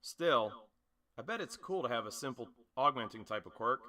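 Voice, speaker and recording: Man voicing a bright, brash anime hero boy. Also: medium pitch, lively intonation, normal speed. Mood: upbeat, admiring. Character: brash, spirited teenage boy superhero student, anime dub. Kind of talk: anime dub audition acting.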